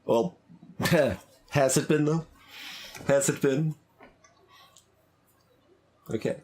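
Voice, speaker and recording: A man giving casual, halting playthrough commentary as a game streamer, thinking aloud in an analytical, musing way. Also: fairly high pitch, darkish tone.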